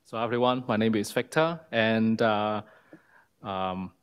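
A man's voice: lowish voice, slow, smooth. A man from Hong Kong, a church member, giving a hesitant personal testimony with many fillers at a church lectern. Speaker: congregation member. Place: church sanctuary.

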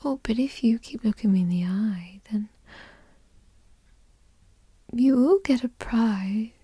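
A woman playing a tall, dominant, doting girlfriend in a whispered ASMR roleplay, teasing and coddling softly, close to the microphone. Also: a little high, takes it slowly, no room sound, exaggerated voice. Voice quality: soft, breathy whisper.

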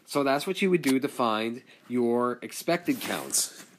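A man's voice: medium pitch, unhurried, slight background noise, rough recording. A male statistics teacher recording a screencast lesson, talking through each calculation step by step as he works it out. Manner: steady step-by-step explaining, talks through calculations aloud.

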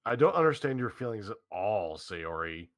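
An earnest, caring young visual novel hero, read by a man. His voice is deep and lively in range, recorded dry and close. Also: moderate pace, dry recording. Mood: earnest, reassuring. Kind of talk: staged reading of a visual novel.

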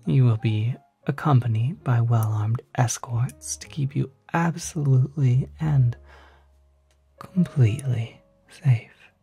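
An ASMR performer of unclear sex playing a courteous demon hotel concierge, speaking softly and politely, close to the microphone, often near a whisper. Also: mid-range voice, vocal fry, slightly rough, soft background music, full character voice. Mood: helpful, reassuring. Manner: polite, unhurried, gently salesmanlike. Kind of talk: ASMR roleplay.

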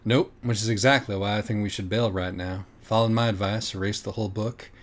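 A man's voice: lowish voice, fairly even intonation, a little fast, lightly acted. A man performing a cocky, sardonic, argumentative young man in audiobook dialogue. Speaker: audiobook reader.

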